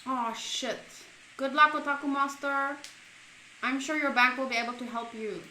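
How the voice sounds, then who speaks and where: Bright, echoey woman reading dramatically. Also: high-pitched, normal speed, a little hiss or hum. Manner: expressive, dramatic, with excitable interjections. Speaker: streamer playing through a visual novel. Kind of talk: reading game narration aloud with reactions. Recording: roomy echo.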